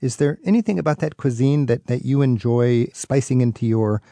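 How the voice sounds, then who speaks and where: Gravelly-voiced American radio host. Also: male voice, mid-range voice, normal speed, dark tone, dry recording. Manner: earnest, conversational. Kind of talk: radio interview.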